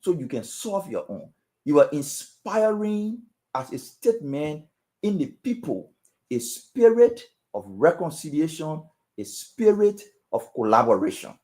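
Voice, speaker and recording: A man's voice: on the high side, sing-song, unhurried, dark tone. A man with a Liberian accent, speaking as a guest on a political talk show with an emphatic, preaching cadence and repeated phrases. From Western Liberia. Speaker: panel guest.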